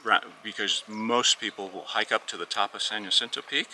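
A man's voice: lowish voice, fairly quick, bright, forceful tone, slight background noise. A man talking casually outdoors while walking, explaining hiking details at length. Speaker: viewer joining the walk. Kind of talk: casual conversation. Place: outdoors on a street at dawn, walking.

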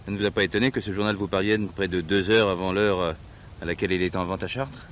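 An actor playing a methodical, polite but firm police inspector in a French radio drama, speaking formally. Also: male voice, deep voice, steady tone, quick pace, fairly bright tone. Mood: firm, probing. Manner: formal, probing. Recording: slightly noisy old radio drama recording.

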